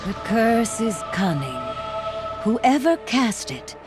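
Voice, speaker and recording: Grave mystic sorceress, music behind. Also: female voice, a little high, big pitch swings. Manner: deliberate, dramatic storytelling. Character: solemn mystic sorceress, grave and respectful of her foe. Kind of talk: video game cutscene acting.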